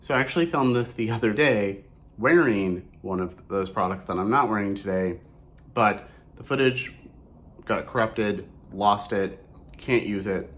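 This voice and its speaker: A man with a hoarse voice, recovering from a cold, chatting casually through a makeup review vlog and often correcting himself mid-sentence. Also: on the low side, a bit bright. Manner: chatty and rambling, with frequent self-corrections.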